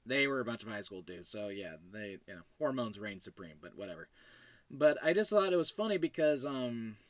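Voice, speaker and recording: A man's voice: medium pitch, very animated, fairly quick, no echo. A man hosting a solo podcast in a casual, digressive, self-deprecating way, talking about his own fiction. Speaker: podcast host.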